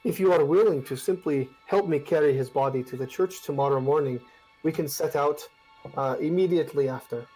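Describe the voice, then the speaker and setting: A man's voice: fairly high pitch, brisk, warm tone, slightly rough, faint music behind, poor audio. A man voicing a solemn young nobleman who speaks formally and protectively of his sister. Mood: urgent.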